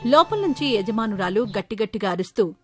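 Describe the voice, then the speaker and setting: A woman's voice: medium pitch, sing-song, a little fast, fairly bright tone, faint music behind. A woman reading scripted story narration as the storyteller.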